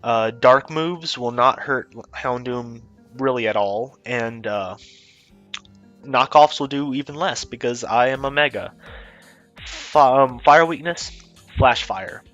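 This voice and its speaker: A man's voice: mid-range voice, slow, a bit bright, highs cut off. A young man with a head cold, sounding stuffy, giving rambling, offhand casual commentary on his game team with self-mocking asides. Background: faint music.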